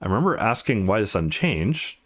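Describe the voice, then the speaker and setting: A man voicing a friendly, caring adult woman from a visual novel, chatty and gently concerned. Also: on the low side, sing-song, fairly quick. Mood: reminiscing.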